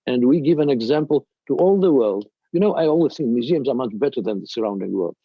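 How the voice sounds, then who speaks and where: An older man with a Russian accent gives a halting, emphatic presentation as a museum director, in non-native English. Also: medium pitch, lively intonation, no echo, muffled recording. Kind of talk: extemporaneous panel presentation.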